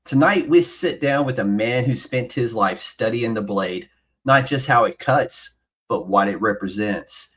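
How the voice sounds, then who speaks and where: A man's voice: mid-range voice, a bit bright, breathy edge. A man hosting a podcast, who reads a dramatic scripted intro and then chats casually with his guest. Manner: dramatic, measured delivery in the intro. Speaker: podcast host.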